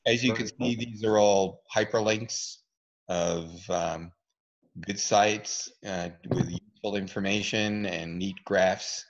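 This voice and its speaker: A man with a very low voice explains things to an online group in a relaxed way, stopping often for ums and uhs. His audio comes through a muffled video call. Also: slow. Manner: relaxed, explanatory, with many fillers. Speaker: presenter, physician. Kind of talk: online talk and Q&A.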